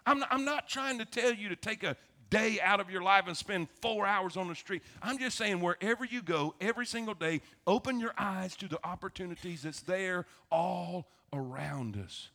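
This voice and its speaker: A male pastor with a Southern US accent, preaching in a folksy, animated storytelling way and repeating phrases for emphasis. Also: high-pitched, normal speed, creaky, few pauses. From South Florida, United States. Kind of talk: sermon, folksy storytelling to a congregation.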